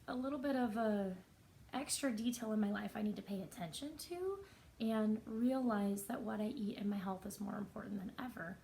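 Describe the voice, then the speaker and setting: A woman with a soft, raspy voice, talking earnestly and reflectively in a personal vlog. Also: medium pitch, a little hiss or hum, rough recording. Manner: earnest, reflective, unhurried with pauses. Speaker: vlogger.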